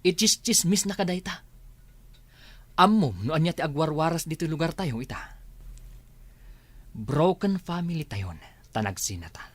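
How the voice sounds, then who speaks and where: Man playing a quarrelsome Ilocano husband, swooping pitch. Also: lively intonation, moderate pace, long pauses, no echo. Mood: accusing, taunting. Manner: argumentative, taunting. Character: quarrelsome, fault-finding village husband. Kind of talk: Ilocano village comedy radio drama.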